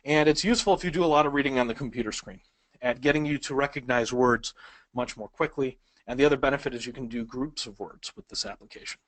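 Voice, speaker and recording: A man's voice: fairly high pitch, fairly bright tone, no room sound. A man whose first language is Polish, teaching speed reading in a conversational webinar and asking the audience questions. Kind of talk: webinar lesson.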